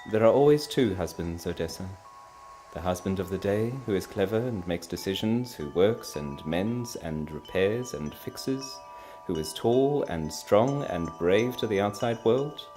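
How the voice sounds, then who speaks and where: A man's voice: fairly low pitch, lively intonation, measured pace, dark tone, faint music behind. Guy doing a defiant wife in a storybook, anguished and proud, really emphatic, voice lifted a bit. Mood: bitter, yearning. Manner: impassioned, emphatic, trembling with feeling. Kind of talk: dramatic storybook character reading.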